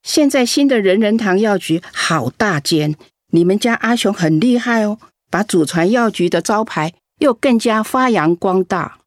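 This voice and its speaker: A woman's voice: mid-range voice, lively intonation, moderate pace, no room sound, studio quality, close to an everyday voice. A woman playing a chatty neighbour in a radio drama, gossipy and cajoling as she asks favours.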